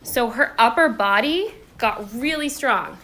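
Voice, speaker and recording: A woman's voice: a little high, animated, unhurried, pushed and bright. A woman hosting a casual live stream, chatting affectionately to her viewers. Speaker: host and children's book author. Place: indoors in a room, live-streamed. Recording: echoey room.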